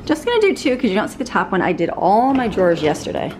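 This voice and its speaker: A woman's voice: mid-range voice, huge pitch swings, quick pace. A woman in her thirties chatting casually to a handheld camera as she works in her home kitchen. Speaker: vlogger. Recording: echoey kitchen with room noise.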